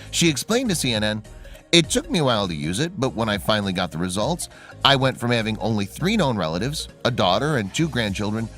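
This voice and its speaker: A man reading a scripted human-interest story in a measured storytelling delivery. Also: mid-range voice, fairly bright tone. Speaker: narrator.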